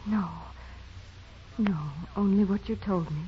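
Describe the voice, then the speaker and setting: A woman playing a devout, humble teenage peasant girl in a 1940s radio drama, speaking simply and sincerely in a soft voice. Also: medium pitch, takes it slowly, a little hiss or hum, natural voice. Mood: humble, earnest. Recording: muffled, thin old radio broadcast.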